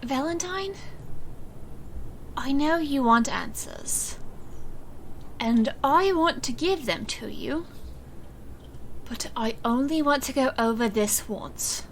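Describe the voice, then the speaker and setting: Woman playing a slow-spoken, guarded, composed woman. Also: on the high side, slight background noise, low-quality recording. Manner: measured, composed. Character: calm, guarded, secretive woman in charge, dryly protective. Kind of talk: audio drama acting.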